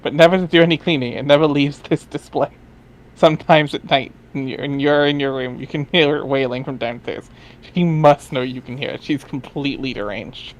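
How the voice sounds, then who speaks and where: A man's voice: medium pitch, fairly even intonation, a little fast, poor audio. A man reading narration aloud in a dry, wry way, dropping in casual asides. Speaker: reader in a group dramatic reading.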